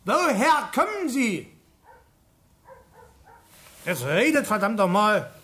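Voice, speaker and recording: An actor playing an irritable, grumbling village police chief in a radio drama, gruff and exasperated. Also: male voice, sits high, very animated, slow pace, pushed and bright, heavily acted. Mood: angry, exasperated.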